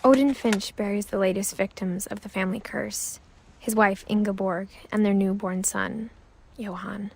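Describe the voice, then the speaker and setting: An actress narrating a video game as a wistful young woman recalling her family's past, soft and often close to a whisper. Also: mid-range voice, steady tone, normal speed, a little hiss or hum, natural voice. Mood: reflective. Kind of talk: scripted narration. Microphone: studio voice-over.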